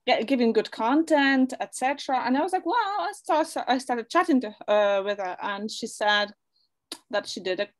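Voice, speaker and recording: A woman's voice: fairly high pitch, expressive, moderate pace. A young woman from Poland, talking chattily as an interview guest over a video call, often restarting her words. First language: Polish. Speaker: guest, online English school owner. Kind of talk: interview testimonial.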